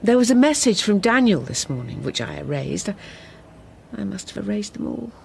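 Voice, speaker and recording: An actress playing a gentle, apologetic wife in her sixties, hesitant and flustered in a naturalistic radio drama. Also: mid-range voice, big pitch swings, vocal fry, slight background noise. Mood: flustered, ashamed. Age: 60s. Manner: hesitant, halting.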